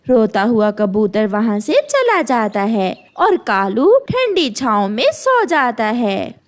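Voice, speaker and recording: A woman reading scripted narration for a children's animal story. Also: fairly high pitch.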